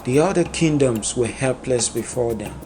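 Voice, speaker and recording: A man narrating a dark epic folktale in a solemn, dramatic storytelling manner. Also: medium pitch, moderate pace, over music.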